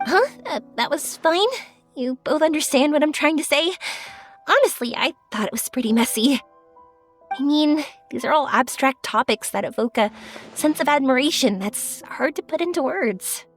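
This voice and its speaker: A woman's voice: high voice, moderate pace, natural voice. A woman playing a cheerful, sincere, bubbly young woman in a video game, speaking expressively over music. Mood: sincere, hopeful. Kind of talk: video game character acting.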